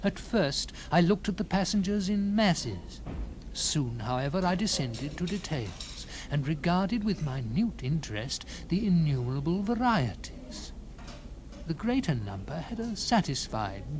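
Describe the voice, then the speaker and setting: A man narrating a gothic story in the first person, with theatrical, deliberate phrasing and dramatic pauses. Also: fairly high pitch, big pitch swings, noisy background.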